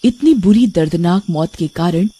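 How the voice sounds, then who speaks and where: A woman giving scripted storytelling narration for a cartoon, in a mellow voice. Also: lowish voice, expressive, moderate pace, slight background noise.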